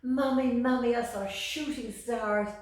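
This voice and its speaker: A woman voicing an eager, wide-eyed young village boy in a story, exclaiming with breathless excitement. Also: a little high, a little slow, pitched above the performer's own voice, lightly acted. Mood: excited wonder. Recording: echoey room, distant microphone.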